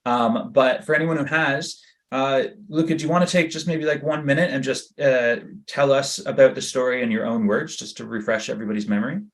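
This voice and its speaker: A man's voice: mid-range voice, brisk. A man hosting an interview, enthusiastic and chatty with frequent stumbles and restarts. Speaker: interview host. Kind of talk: casual interview.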